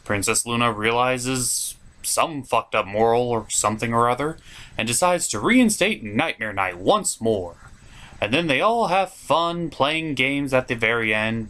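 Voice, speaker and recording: A man's voice: medium pitch, pushed and bright. A man delivering a ranting, profane review as an online host, exasperated and emphatic.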